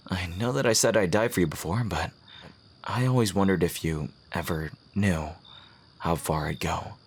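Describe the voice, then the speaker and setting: A man playing a devoted fantasy rival confessing love in a romantic audio roleplay, speaking in an earnest, courtly, poetic way with soft hesitant pauses and a husky, breathy voice. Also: sits low. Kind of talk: romantic ASMR audio roleplay, intimate acting.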